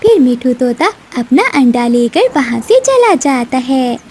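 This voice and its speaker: High-pitched, expressive woman storyteller. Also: unhurried, slightly rough. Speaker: narrator. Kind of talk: scripted children's cartoon storytelling.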